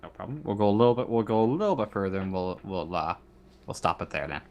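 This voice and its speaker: A man with a fairly low voice narrates a tabletop roleplaying game. He improvises as he goes, haltingly circling back over phrases. Faint noise sits behind the voice. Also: darkish tone, highs cut off. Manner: halting, searching delivery with repeated phrases. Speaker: game master.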